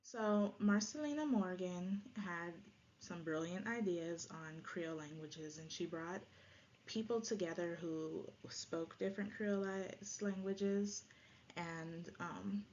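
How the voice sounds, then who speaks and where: Female presenter, Jamaican Patois native speaker, lowish pitch, explanatory lecture delivery, dull recording with faint noise. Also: slow pace, a little mellow.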